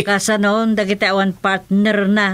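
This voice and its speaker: A woman plays an older village lady in a comedy radio serial, wary and quick to question. She has an Ilocano accent and a medium-pitched voice, recorded close and dry. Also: level tone, a bit bright, no echo, natural voice. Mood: doubtful. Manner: reactive, questioning, colloquial. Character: wary, easily startled female village senior. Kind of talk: rural comedy radio drama acting.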